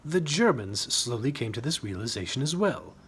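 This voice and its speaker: A man's voice: medium pitch, big pitch swings, moderate pace, a bit bright, slightly rough. A man reading his own humorous short story as scripted narration, with a wry, dramatic storytelling manner.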